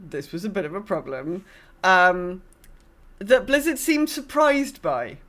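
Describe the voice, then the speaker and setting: A woman's voice: medium pitch, big pitch swings, measured pace, a bit bright. A woman co-hosting a podcast, chatting casually and discursively as she thinks aloud. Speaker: podcast co-host. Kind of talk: casual gaming podcast chat.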